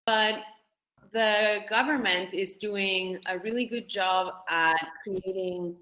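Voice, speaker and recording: A woman's voice: mid-range voice, slow pace, reverberant, highs cut off, a little hiss or hum. A woman, speaking as a panelist on a video call in a friendly way, a little flustered by her screen share. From Argentina.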